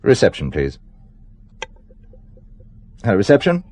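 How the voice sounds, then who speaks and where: A man's voice: on the low side, huge pitch swings, a little slow, a little mellow, heavily acted. An actor playing an uneasy, dryly polite businessman with a hesitant, understated manner in a radio drama. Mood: frustrated. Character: uneasy, dry British businessman awaiting a friend.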